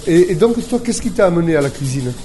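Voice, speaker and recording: A man's voice: on the high side, expressive, fast talker, dark tone. A man interviewing a chef, often stopping and rephrasing his questions.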